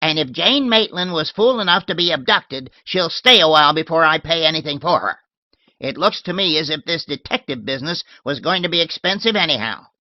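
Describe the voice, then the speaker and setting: A man reading an audiobook voices a shrill, tart, imperious old woman who snaps and scolds. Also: expressive, a little fast, no echo, lo-fi sound, close to an everyday voice. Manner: snapping, sharp and scolding.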